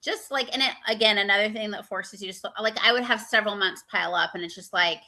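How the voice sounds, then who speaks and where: Bright, conversational woman in casual chat. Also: mid-range voice, fast talker, low-quality recording. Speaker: livestream co-host.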